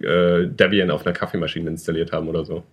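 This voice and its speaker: Hesitant low-voiced young German man. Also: flat delivery, fast, breathy edge. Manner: rambling, hesitant, lots of fillers, joking. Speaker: podcast co-host. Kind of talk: casual chat.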